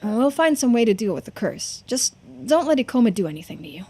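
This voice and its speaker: A woman's voice: fairly high pitch, big pitch swings, brisk, mellow, slightly rough. Earnest, anxious young game hero, voiced by a woman. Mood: determined. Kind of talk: video game dialogue read-aloud.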